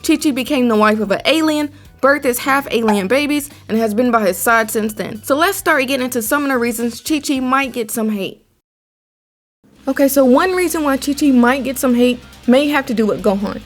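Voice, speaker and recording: A young woman presents opinion commentary. Her voice sits fairly high and she explains things in a measured, conversational way over faint music. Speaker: anime commentary video host.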